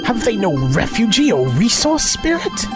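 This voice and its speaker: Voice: medium pitch, huge pitch swings, normal speed. An actor playing a frightened, remorseful old man in a Christmas radio drama, pleading and anguished. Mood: anxious. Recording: clear music bed under the voice.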